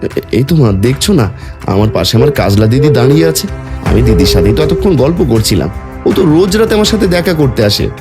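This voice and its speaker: A man voicing an anxious, devoted young son in an animated horror story, speaking in an insistent, pleading, excitable way. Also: medium pitch, a little fast, over music. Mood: wistful.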